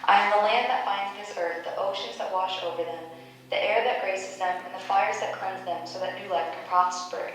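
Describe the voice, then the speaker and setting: A woman's voice: mid-range voice, fairly even intonation, normal speed, a bit bright, soft background music, close to an everyday voice. A woman playing a solemn, nurturing nature goddess in a staged play reading, speaking in a stately, incantatory way. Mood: reassuring, majestic. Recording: very echoey room, distant mic, background noise.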